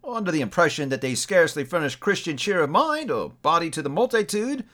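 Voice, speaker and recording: A man plays a pleasant, portly charity collector from a storybook. His voice sits high and swings expressively. He is formal, courteous and earnest in his appeals. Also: big pitch swings, fairly quick, fairly bright tone. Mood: pleading. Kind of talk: storybook character voice.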